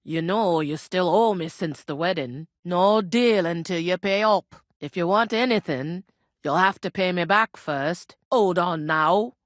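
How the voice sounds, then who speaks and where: An actress playing a brusque, gossipy medieval innkeeper in a video game, speaking in earthy, colloquial rustic phrases with folksy idioms. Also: female voice, fairly low pitch, unhurried, no echo, clean studio sound, lightly acted. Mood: matter-of-fact, brisk. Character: brusque, gossipy medieval village innkeeper woman, plain-spoken, superstitious. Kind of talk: video game character dialogue.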